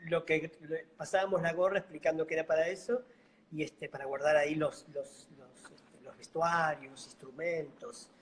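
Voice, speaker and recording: A middle-aged man from Buenos Aires, with an Argentine accent softened by a Bolivian lilt, telling his story in an unhurried, gentle way. His voice sits a little higher than most men's. It comes through a video call. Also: fairly even intonation. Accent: Argentine Spanish, slightly Bolivian-tinged. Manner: unhurried, gentle, fond of diminutives. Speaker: guest, mime and clown actor. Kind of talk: casual interview storytelling.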